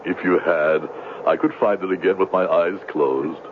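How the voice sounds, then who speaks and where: An actor playing a smooth-talking swindler who poses as a solemn, pious missionary in a 1970s radio drama, with theatrical hesitations. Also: male voice, low voice, fairly even intonation, moderate pace, lightly acted. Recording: muffled, noisy old radio broadcast.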